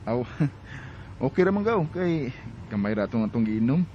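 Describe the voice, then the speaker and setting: A man's voice: mid-range voice, sing-song, normal speed, dull audio, a little hiss or hum, lightly acted. An actor playing a shy, friendly young man in a radio drama, with a Filipino accent. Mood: casual, tipsy. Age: young adult. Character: friendly, shy young man courting, telling his life story.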